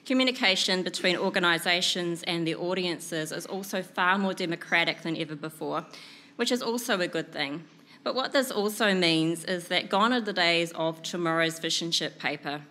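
A woman in her thirties with a New Zealand accent, a PR consultant giving a prepared presentation at a conference, speaking clearly and steadily from notes into a lectern microphone. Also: lowish voice, bright, forceful tone. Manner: clear, measured delivery from notes. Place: conference room, indoors.

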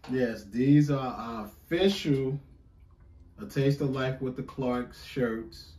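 A man's voice: mid-range voice, slow pace, a little mellow, recorded from a distance. A man co-hosting a reaction video, chatting casually with his partner. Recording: roomy, echoing home recording.

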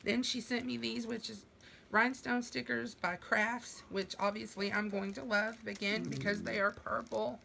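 A woman's voice: on the high side, fairly even intonation, normal speed, vocal fry, slight background noise. A middle-aged woman, chatting as she opens mail in her living room. Her voice is going from a sinus infection, and she gushes her thanks. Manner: gushing, warm thanks with fillers. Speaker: vlogger. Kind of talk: casual mail-opening vlog.

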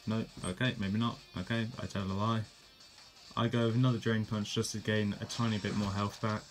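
A man giving a casual, relaxed play-by-play of his own game battle, correcting himself as he goes. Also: fairly low pitch, a little fast, soft background music. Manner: relaxed, plain narration with fillers and self-corrections. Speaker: gameplay commentator.

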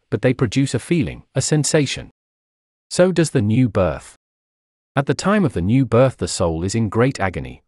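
A man's voice: fairly low pitch, darkish tone, dry recording, clean studio sound. A man reading an old sermon aloud in an emphatic, declamatory preaching manner. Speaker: narrator reading a historical sermon. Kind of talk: scripted sermon reading, oratorical.

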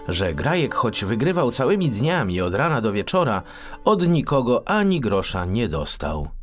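A man narrating a children's story as an audiobook, in an expressive storytelling style. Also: on the low side.

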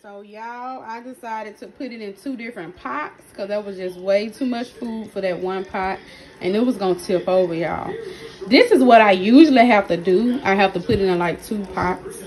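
A woman with a hoarse, partly lost voice, chatting casually to her viewers as she cooks in her home kitchen, recording on a handheld phone. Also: mid-range voice, normal speed, darkish tone. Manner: chatty, keeps addressing viewers directly. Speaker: cooking channel host. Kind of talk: casual cooking vlog narration. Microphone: phone held in hand while cooking.

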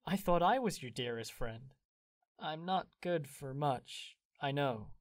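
An actor playing a cheerful, devoted young gentleman in an audio drama, playful in manner. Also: fairly high pitch, expressive, a little slow, no room sound.

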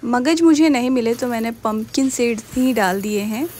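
A woman's voice: a little high, moderate pace, a little mellow, a little hiss or hum. A young woman casually narrating her home cooking in a voice-over, chatty and mixing in English words. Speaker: cooking vlogger.

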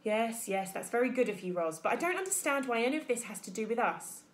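A woman voicing a stubborn, gruff adult male beaver in a children's storybook, blustering and grudging. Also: on the high side, lively intonation, quick pace, reverberant, close to an everyday voice. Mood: grumbling.

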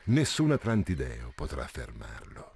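Video game villain cyclops: deep voice, scheming and condescending, some noise behind. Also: male voice, huge pitch swings, vocal fry, darkish tone, exaggerated voice. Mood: commanding. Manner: deliberate, condescending.

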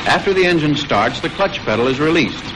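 American male narrator, 1940s instructional film, higher register, formal measured read, slightly noisy vintage soundtrack. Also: expressive.

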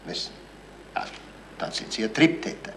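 A man's voice: a bit bright, lightly acted. An actor playing a shrewd, dry policeman who needles people, in a radio crime drama with a Bavarian accent. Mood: dry, needling. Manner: dry, unhurried, wry. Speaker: police officer. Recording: noisy 1970s radio recording.

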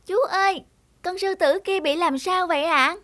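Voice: extremely high pitch, moderate pace, fairly bright tone, a little hiss or hum. An actor voicing an eager, affectionate young boy with a polite, pleading manner in a children's cartoon. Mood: concerned. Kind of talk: dubbed children's cartoon dialogue. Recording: music behind the voice.

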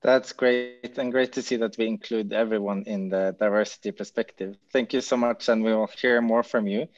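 A man's voice: lowish voice, fairly even intonation, moderate pace, no echo. A man in his thirties moderating an online panel with a headset, friendly, with fillers. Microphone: headset on video call. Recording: dull lo-fi call audio.

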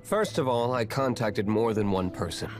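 A man's voice: on the low side, expressive, moderate pace, soft background music, lightly acted. An actor playing an aloof, brooding young nobleman in an anime game, curt and formal.

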